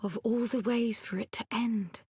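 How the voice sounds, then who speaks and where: An actress playing a grave, sorrowful woman in a fantasy video game, with a breathy, half-whispered, weighty delivery. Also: fairly high pitch, steady tone, moderate pace, darkish tone, no room sound, clean studio sound. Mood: sorrowful, haunted. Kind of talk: dramatic video game cutscene acting.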